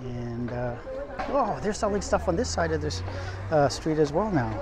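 A man's voice: a little high, expressive, normal speed. A man narrating a casual walking tour outdoors on city streets, rambling along with many fillers and restarts while he walks and films. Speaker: vlogger filming a walking tour. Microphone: camera held while walking, speaker off screen. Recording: outdoor street with crowd noise. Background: crowd chatter and passing traffic.

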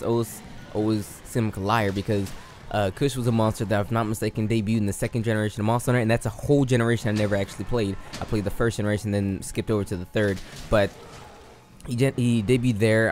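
A man with a slightly low, hoarse voice, worn from losing it. He talks loosely and slangily, stumbling and correcting himself mid-sentence. There is a faint noise floor under the recording. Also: darkish tone. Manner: rambling, self-correcting, slangy. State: losing his voice. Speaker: gaming YouTuber. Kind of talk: casual game commentary.

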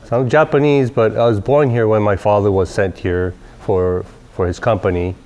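Low-voiced man in his forties from Southern California, laid-back interviewee with a wandering delivery, recorded seated in a workshop. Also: normal speed. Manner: relaxed, rambling with many fillers. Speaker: company president. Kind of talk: casual interview. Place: large workshop garage, indoors.

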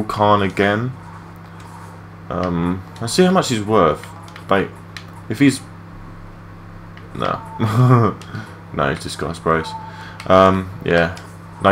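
A young man with a low voice giving rambling, matey, sweary gameplay commentary in a casual unscripted way. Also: slow, fairly bright tone. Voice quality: low-pitched voice. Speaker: gaming video creator. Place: his room at home. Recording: clearly noisy home mic recording.

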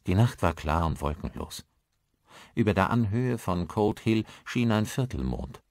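A man's voice: low voice, very animated, unhurried, a little mellow, dry recording, clean studio sound. A man reading a scripted crime horror audiobook as narrator, with dramatic storytelling delivery.

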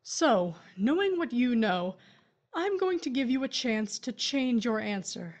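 A woman playing a wronged widow and mother of grown children in an audio drama, earnest, measured and firm but torn. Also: a little high, lively intonation, a little mellow. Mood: firm, probing.

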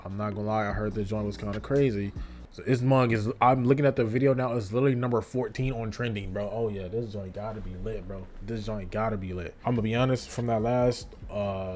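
A man's voice: on the low side, steady tone, fast talker, a little mellow. A young American man hosting a reaction video from his bedroom, casual and slangy, exclaiming and repeating his phrases. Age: young adult. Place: small bedroom at home.

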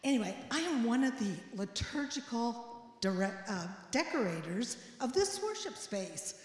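A woman's voice: a little high, sing-song, a little slow. Older woman in an echoey church. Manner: asks children questions and echoes their answers. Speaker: children's message leader at church. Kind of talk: interactive children's sermon. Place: large church sanctuary. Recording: echoey church hall with long reverb.

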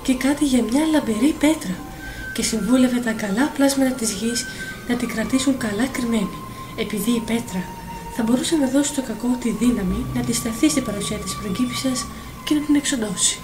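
High-voiced woman narrating a fairy tale. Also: low-quality recording. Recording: voice over clear film music.

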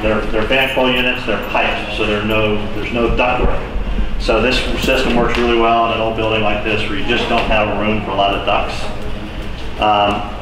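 A man's voice: medium pitch, background noise. A middle-aged man, an architect, casually explaining features as he leads a walking tour through a building under renovation, with many fillers. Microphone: camera from a distance. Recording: echoey bare room from far off.

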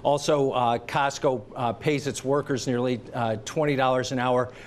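A man's voice: medium pitch, fairly even intonation. Guy on a business tv clip listing facts, bit of faint noise behind him. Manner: brisk, lists facts with fillers. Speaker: interviewed commentator. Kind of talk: TV business interview.

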